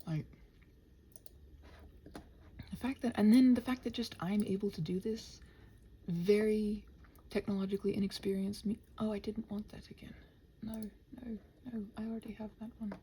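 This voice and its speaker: A woman's voice: medium pitch, steady tone, normal speed, pauses often, mellow, slightly rough. A woman rambling casually to herself in a body-doubling ASMR style, scattered and muttering, often slipping toward a whisper. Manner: playfully talks to the computer and quotes imagined exchanges. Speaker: channel host rambling while working.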